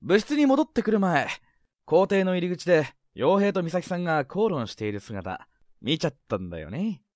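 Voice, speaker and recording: A man acts out a confident, scheming high school senior in a romantic-comedy audio drama. He talks smoothly and teasingly with very animated intonation. The recording is close and dry. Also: normal speed, no room sound. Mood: sly, persuasive. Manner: smooth-talking, playful, insinuating.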